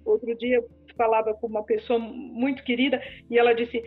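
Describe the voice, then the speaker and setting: A woman with a Brazilian Portuguese accent, a podcast guest explaining communication and empathy, animated and sometimes acting out other people. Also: fairly high pitch, brisk, breathy edge. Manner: animated, acts out quoted people. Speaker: speech therapist guest. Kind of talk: podcast conversation. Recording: phone-like lo-fi audio with faint music.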